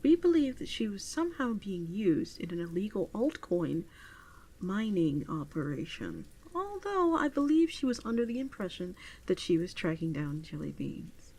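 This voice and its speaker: A woman playing a gentle, doting virtual pet adoption clerk in a soft-spoken ASMR roleplay, often slipping into a whisper, unhurried and attentive. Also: mid-range voice, very animated, husky, poor audio. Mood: musing, informative.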